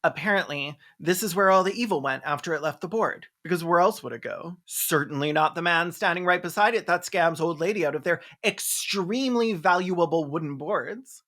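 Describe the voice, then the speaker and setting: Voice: medium pitch, moderate pace, few pauses, fairly bright tone. A video host giving sarcastic, mocking movie commentary in an incredulous, campy and slangy way. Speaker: video host, film reviewer.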